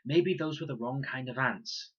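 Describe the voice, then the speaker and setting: A man plays a serious, watchful little girl in a storybook. The voice is raised and husky, careful and earnest, on a slightly dull recording. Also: mid-range voice, normal speed, fairly bright tone, voice pushed up. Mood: hopeful.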